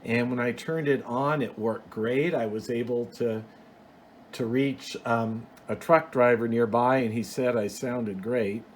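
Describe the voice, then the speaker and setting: A man's voice: mid-range voice, steady tone, a little slow. Man casually showing gear, noisy background. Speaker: hobbyist video host. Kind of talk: casual unscripted show-and-tell. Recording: clear background noise.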